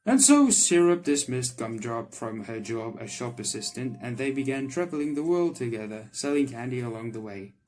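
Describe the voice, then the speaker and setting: A man's voice: mid-range voice, sing-song, normal speed, few pauses, a little mellow. A man making a gaming video, reading a visual novel aloud and adding casual commentary. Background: game music.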